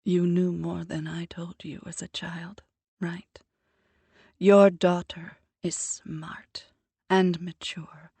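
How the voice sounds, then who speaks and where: Woman voicing a dignified older Jamaican mom, formal and commanding, husky, slow storybook delivery. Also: on the low side, little pitch movement, dark tone, no echo, exaggerated voice. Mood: earnest. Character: proud composed older Jamaican mother. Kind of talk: audiobook character voice.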